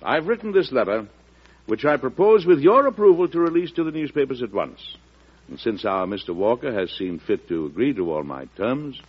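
Wily husky-voiced president, old radio. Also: male voice, mid-range voice, very animated. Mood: jovial. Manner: unhurried, genial, drawn-out hesitations, oratorical. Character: shrewd, folksy, wily US president, a seasoned father. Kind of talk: old-time radio drama acting. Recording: muffled classic radio broadcast recording.

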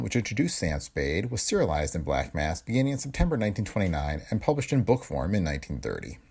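A deep-voiced man reads a scripted podcast introduction. His voice is husky and creaky, with lively swings of intonation. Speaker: podcast host.

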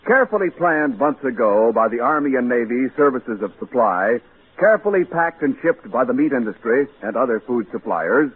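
A man's voice: mid-range voice, lively intonation, moderate pace, husky. A man announcing a 1940s radio show in a declamatory, scripted style. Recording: tinny, noisy 1940s radio recording.

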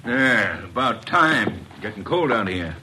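An actor playing a gruff, blunt, impatient police inspector in 1940s radio style. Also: male voice, fairly low pitch, sing-song, takes it slowly. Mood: grumbling, impatient. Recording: very noisy vintage radio recording.

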